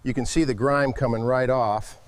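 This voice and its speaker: A man's voice: medium pitch, fairly even intonation, normal speed, background noise. A man giving hands-on commentary on restoration work in short, casual phrases. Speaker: restoration video narrator.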